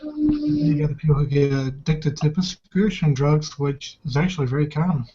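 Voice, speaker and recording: Male roleplay gamer, soft-edged dark timbre, conversational, dull lo-fi sound. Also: a little high, animated, normal speed, slightly rough. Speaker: tabletop roleplaying player. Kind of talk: casual in-character chat.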